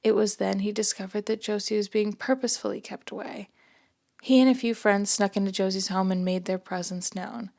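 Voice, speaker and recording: Soft-spoken woman reading her story, bit of vocal fry. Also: medium pitch, fairly even intonation, brisk. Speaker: author and narrator. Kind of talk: first-person story reading.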